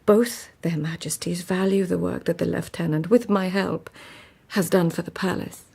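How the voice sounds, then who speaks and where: An actress playing a proud, witty court lady in a radio drama, with formal, measured speech and a husky, creaky voice. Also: on the low side, fairly even intonation, darkish tone. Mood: deferential, careful. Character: proud, witty Japanese court lady, deferential yet flustered. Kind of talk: radio drama acting with first-person narration.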